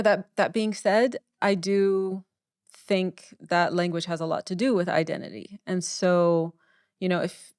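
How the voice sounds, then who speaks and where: Smooth-voiced woman writer, close and dry. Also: on the low side, dry recording. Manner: thoughtful, repeats words while forming ideas. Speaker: writer, podcast guest. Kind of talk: candid conversational interview.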